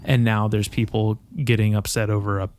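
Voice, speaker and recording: A man's voice: lowish voice, little pitch movement, a little mellow, slight background noise. A man co-hosting a podcast, teasing and swearing freely in casual conversation. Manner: teasing, profane, quick asides. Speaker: podcast co-host.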